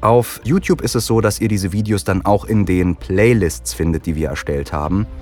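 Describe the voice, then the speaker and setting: A man's voice: sits low, normal speed, a little mellow, faint music behind. A man hosting a casual podcast chat, explaining things in a friendly way while he has a cold. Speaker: podcast host.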